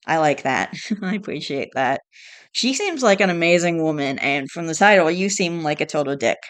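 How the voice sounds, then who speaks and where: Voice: mid-range voice, clear, resonant tone. A host with a stuffed-up nasal voice who reads online posts aloud in a casual, rambling way, with sighs and self-corrections along the way. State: congested, stuffed-up nose.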